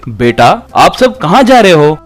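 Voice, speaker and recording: A man voicing a calm, kindly village sage who speaks solemnly and reassuringly in a storybook tale. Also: a little high, a little slow, faint music behind.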